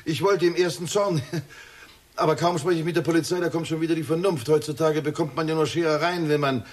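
An actor playing a flustered, evasive middle-aged husband in a radio drama. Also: male voice, on the high side, brisk, natural voice. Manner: apologetic, evasive.

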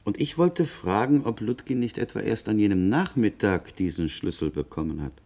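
An actor playing a sceptical male public prosecutor, formal, measured and pointed, in a German radio play. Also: fairly low pitch, lively intonation, warm tone. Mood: probing.

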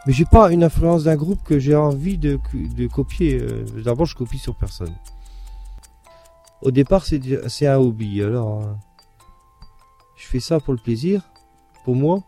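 A man's voice: mid-range voice, lively intonation, unhurried, pauses often, warm tone, soft background music. Man with a Belgian French accent. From France. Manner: rambling, repeats himself. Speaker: composer of a duo. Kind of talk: casual interview answers.

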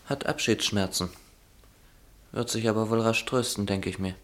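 An actor playing a guarded, ironic young upper-class son in a radio drama, with a clipped, dryly teasing way of talking. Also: male voice, lowish voice, moderate pace, lightly acted. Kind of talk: German radio drama acting.

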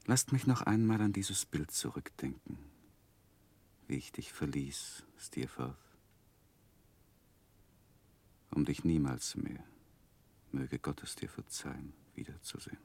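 An actor playing a grown man haunted by his past, narrating gravely and haltingly in a 1960s German radio drama. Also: rumbling low, darkish tone, in a whisper, exaggerated voice. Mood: anguished, grieving.

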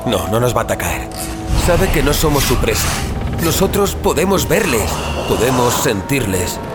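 A man's voice: medium pitch, big pitch swings, normal speed, natural voice. Guy doing scared protective brother in horror audio drama, swingy dramatic delivery, big music behind. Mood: urgent, alarmed. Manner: dramatic, haunted, swings from grave narration to urgent dialogue. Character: frightened, protective brother fleeing sea monsters by yacht. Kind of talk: Spanish horror audio drama, dramatic first-person narration. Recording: loud music over the voice.

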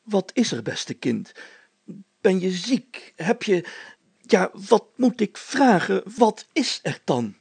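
A man's voice: high voice, sing-song, creaky, darkish tone, breathy edge, dry recording, voice pushed up, heavily acted. A man voicing a pompous, fatherly and easily flustered village mayor in a storybook, stammering and drawing out his phrases. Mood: concerned. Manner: stammering, deliberate, drawn-out phrasing.

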